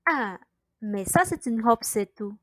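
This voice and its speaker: A meek, peace-keeping father played by a woman in a radio play, in a fairly high voice. The delivery is slow, hesitant and evasive, and sounds close and dry. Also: lively intonation, dry recording. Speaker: student actor. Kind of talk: radio drama acting.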